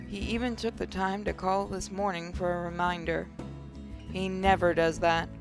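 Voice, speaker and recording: Female gaming host, slightly low register, expressive first-person story narration over faint guitar music. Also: normal speed. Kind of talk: reading visual novel narration aloud.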